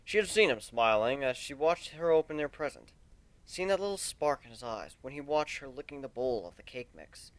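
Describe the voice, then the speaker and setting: Expressive male storyteller, close dry sound. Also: mid-range voice, normal speed, no echo, low-quality recording. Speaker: narrator. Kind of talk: dramatic reading of fan fiction.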